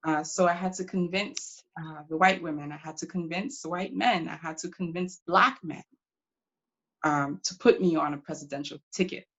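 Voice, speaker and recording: A woman playing a proud, determined pioneering congresswoman from Brooklyn, answering questions in character with deliberate, reflective phrasing broken by pauses. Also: on the low side, fairly bright tone, dull audio. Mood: candid, proud. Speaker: historical reenactor taking audience questions.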